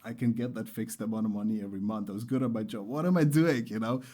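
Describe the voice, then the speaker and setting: Male interview guest, young adult from Qatar. Lowish, relaxed tone, conversational storytelling with emphatic, heartfelt delivery. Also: fast. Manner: earnest, enthusiastic, emphatic repetition. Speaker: interview guest, startup founder.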